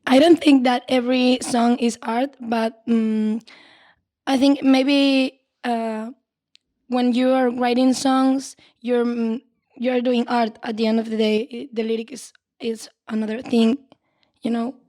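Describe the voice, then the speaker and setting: A woman's voice: on the high side, level tone, a little slow, warm tone. A twenty-one-year-old woman with a Spanish accent who speaks tentatively on a student podcast. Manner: tentative, unsure. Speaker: student panelist.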